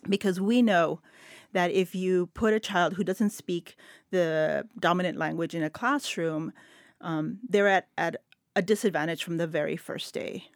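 A woman's voice: on the low side, measured pace, dry recording, clean studio sound. A middle-aged woman from El Paso whose first language is Spanish, a literature professor speaking earnestly and reflectively in long flowing sentences on a panel. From El Paso, West Texas. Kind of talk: panel discussion.

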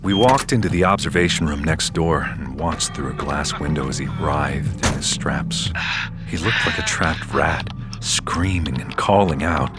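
A man's voice: rumbling low, measured pace, loud music behind the voice. A man acting as a frank young narrator who tells his own story casually in an audio drama, with some swearing. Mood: frank, reflective. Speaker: narrator and main character.

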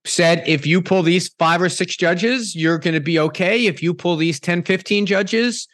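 A man hosting a podcast interview, talking in a halting way with many restarts and repeated words. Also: high voice, a bit bright, dry recording. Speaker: podcast host, former politician. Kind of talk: conversational interview.

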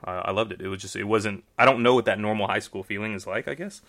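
A young Texan man with a low voice and a bright, forceful edge to it. He talks in a loose, rambling way, full of fillers and restarts. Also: fast talker. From Texas, USA. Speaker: magician guest. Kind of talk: casual interview chat.

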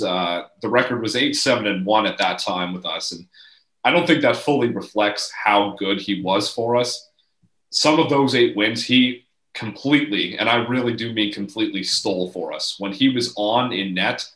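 A man working as a hockey play-by-play broadcaster, telling stories as a guest in a casual interview, animated and enthusiastic. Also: on the low side, echoey room, low-quality recording.

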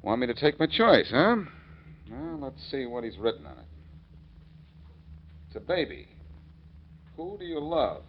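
An actor playing a cocky, wisecracking amateur sleuth in a 1940s radio detective drama, glib and taunting. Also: male voice, mid-range voice, sing-song, measured pace, long pauses. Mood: pressing, sly. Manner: glib, taunting, self-assured. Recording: muffled, noisy 1940s radio recording.

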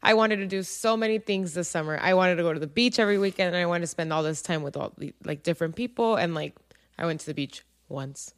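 A woman's voice: on the low side, fast. A woman co-hosting a podcast, talking in a chatty, informal and reflective way. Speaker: podcast co-host. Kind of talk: casual podcast conversation.

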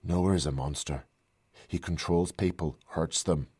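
Guy doing a soft hesitant young woman, kinda husky, dry close sound. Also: low voice, unhurried, no room sound.

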